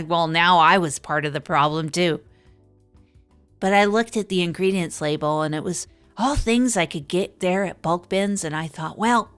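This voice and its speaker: Female actor as hapless radio show host; earnest, halting, self-deprecating monologue over faint music. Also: fairly low pitch. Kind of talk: improvised comedy audio drama monologue.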